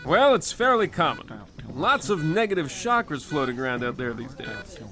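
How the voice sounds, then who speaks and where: A man's voice: fairly high pitch, sing-song, moderate pace, sharp tone, faint music behind. A man playing a brusque, pompous quack doctor who scolds in a comedic old-time radio play. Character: brusque, irritable quack doctor, bossy and menacing.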